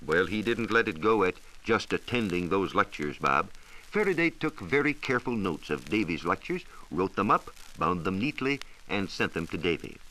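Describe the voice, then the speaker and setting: An American man presenting a scripted 1940s educational radio science talk with measured, emphatic storytelling. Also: low-pitched, big pitch swings, sharp tone, rough recording. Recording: hissy 1940s radio transcription recording.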